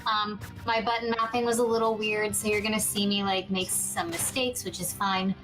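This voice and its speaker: A woman's voice: medium pitch, level tone, normal speed, poor audio. A woman hosting a casual gameplay chat, explaining things in a conversational way. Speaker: podcast host presenting gameplay. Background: music behind the voice.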